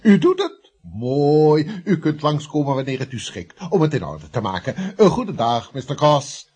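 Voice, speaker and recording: A man's voice: fairly high pitch, big pitch swings, measured pace, a little mellow, breathy edge, full character voice. An actor playing an irritable, domineering male boss of an estate agency in a radio comedy, curt and blustering. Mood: businesslike politeness. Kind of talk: Dutch radio comedy drama acting.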